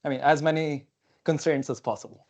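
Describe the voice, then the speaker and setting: A young man whose first language is not English lectures at a whiteboard in a lecture hall, speaking in a somewhat high voice. He talks in a loose, thinking-aloud way, peppered with okays and fillers, picked up cleanly on a clip-on mic. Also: fairly even intonation, fairly quick, a little mellow. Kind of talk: technical seminar talk at a whiteboard. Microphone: clip-on lapel mic.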